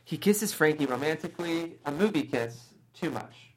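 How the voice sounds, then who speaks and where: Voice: medium pitch, lively intonation, normal speed, slight background noise, rough recording. Narrator for a screenplay table read, middle-register voice, reading scene headings and action lines. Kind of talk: reading screenplay stage directions aloud.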